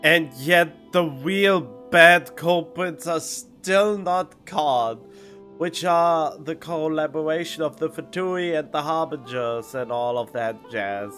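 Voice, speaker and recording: A man giving casual live game commentary as a streamer, skeptical and halting, on a studio microphone. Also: on the high side, bright, forceful tone, soft background music. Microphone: studio condenser microphone.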